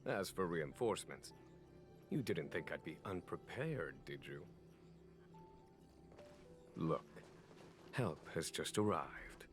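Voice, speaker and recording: A man voicing an easygoing male general who talks in a smooth, playful, persuasive way, in a game cutscene. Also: rumbling low, very animated, slow pace, long pauses, faint music behind, full character voice. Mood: genial.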